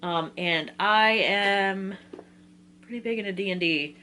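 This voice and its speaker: A woman's voice: mid-range voice, takes it slowly, bright, forceful tone, a little hiss or hum. A woman in her twenties talking casually and informally to viewers as a book vlogger, giggly and often interrupting herself. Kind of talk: casual chatty book list talk to viewers.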